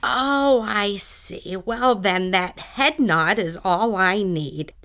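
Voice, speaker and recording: A woman's voice: mid-range voice, animated, takes it slowly, a bit bright. A woman playing a chatty, genteel older neighbor lady in an audio drama, with gracious, old-fashioned manners.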